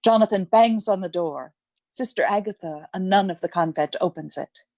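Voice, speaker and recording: A woman's voice: medium pitch, normal speed, darkish tone, no echo. A woman reading stage directions as the narrator of a scripted radio play.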